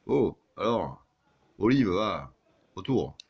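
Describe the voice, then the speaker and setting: A man's voice: fairly low pitch, sing-song, slow pace, vocal fry, husky, lo-fi sound. A man chatting casually as an amateur radio operator, with crude, joking, sweary banter.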